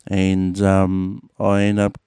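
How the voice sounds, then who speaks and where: Rambling Australian man, smooth low voice. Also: monotone, slow pace, warm tone, dry recording, studio quality. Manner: rambling, lots of fillers, swears casually. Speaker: podcast host. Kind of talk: casual monologue. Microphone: podcast mic.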